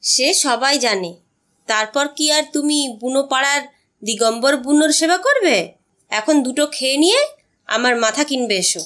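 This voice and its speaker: Woman playing a high-pitched, scornful nagging wife. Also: a little slow, a bit bright. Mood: sarcastic, scolding. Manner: sarcastic, scolding. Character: scornful, nagging wife mocking her husband's devotion. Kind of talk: Bengali audio story acting.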